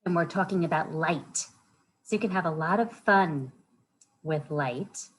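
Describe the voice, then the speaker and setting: A woman's voice: low voice, unhurried, a little hiss or hum, rough recording. A woman hosting a storytime for children, reading aloud and chatting in simple words, often putting questions to her young listeners. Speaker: library storytime host for children.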